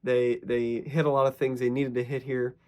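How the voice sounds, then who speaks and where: A young man, sick with a cough and slightly raspy, giving casual sports analysis as a channel host in a rambling, self-correcting way. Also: medium pitch, flat delivery, normal speed.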